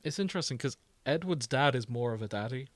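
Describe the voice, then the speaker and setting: A man's voice: medium pitch, expressive, quick pace, dry recording. A man co-hosting a podcast in casual chat, with a wry, self-deprecating manner. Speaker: podcast co-host.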